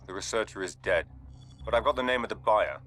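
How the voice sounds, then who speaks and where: A man's voice: fairly low pitch, brisk, a bit bright, faint music behind, natural voice. A man with a British accent playing a cool, commanding secret agent in a video game, speaking in clipped, controlled phrases. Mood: businesslike. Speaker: actor in a spy action game. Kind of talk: cinematic video game acting.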